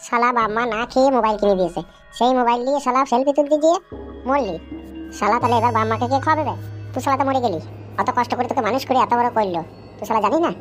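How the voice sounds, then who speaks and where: A woman voicing a bossy, scolding ghost of a former thug in a comic cartoon, with gruff, coarse slang. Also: a little high, rapid-fire, soft background music, lightly acted.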